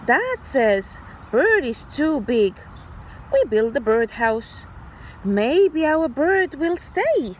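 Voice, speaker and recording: A woman teacher reading a story aloud to young children in an animated, deliberate, child-directed way. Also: high-pitched, slight background noise. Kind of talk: expressive children's read-aloud.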